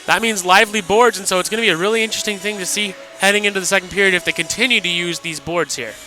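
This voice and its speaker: A man's voice: sits high, fairly even intonation, racing, sharp tone. A man giving colour commentary on a live hockey radio broadcast.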